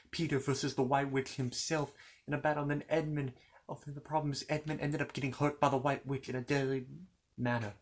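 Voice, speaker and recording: A man telling a children's fantasy story in an informal ASMR style, rambling along with playful, courteous asides to the listener. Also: mid-range voice.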